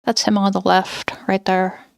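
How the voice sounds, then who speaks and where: A woman's voice: mid-range voice, little pitch movement, a little slow, vocal fry, dry recording, studio quality. A woman streaming a video game from home, chatting casually and thinking aloud with lots of fillers. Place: home, indoors.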